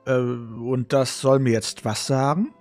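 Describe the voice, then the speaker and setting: A man streaming a video game, chatting casually and haltingly between reading dialogue lines aloud. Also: mid-range voice, steady tone, a little mellow, soft background music. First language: German.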